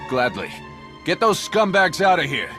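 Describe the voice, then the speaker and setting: A man's voice: a little high, very animated, moderate pace. An actor voicing a casual, wry male soldier in a game. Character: easygoing male Republic soldier. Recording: game audio with music.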